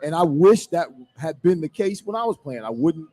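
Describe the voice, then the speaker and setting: Middle-aged man, soft-toned, slightly high voice. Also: expressive, moderate pace. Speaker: former football player, panelist. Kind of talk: live sports talk.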